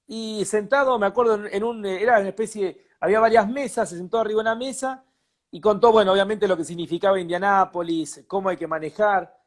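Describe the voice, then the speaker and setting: A man's voice: high voice, fast talker. A man with an Argentine accent, co-hosting a casual motorsport chat and telling anecdotes with animation. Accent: Argentine Spanish. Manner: animated storytelling, repeats himself. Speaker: co-host.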